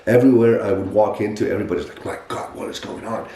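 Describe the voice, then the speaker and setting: A man in his forties telling his own fitness story in a casual podcast chat, animated and emphatic, with frequent restarts. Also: lowish voice, quick pace, crowd noise. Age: 40s. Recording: echoey room.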